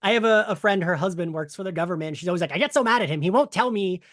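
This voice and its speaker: A man hosts a casual podcast chat in a notably high voice. He is chatty and quick to laugh, and the sound is dry and close. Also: lively intonation, a bit bright, dry recording. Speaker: podcast host.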